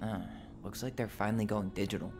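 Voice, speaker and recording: A man's voice: on the low side, steady tone, fast talker, over loud music, natural voice. An actor playing a blunt, wry, guarded young man with an American accent in video game dialogue, talking casually. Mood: wry, grumbling. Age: young adult.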